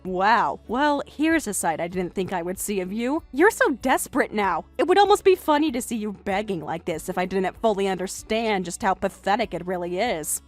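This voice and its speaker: Woman playing mocking young woman, condescending, self-assured, melodramatic story dialogue, animated intonation. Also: medium pitch, moderate pace, few pauses, soft background music, lightly acted. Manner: sarcastic, condescending.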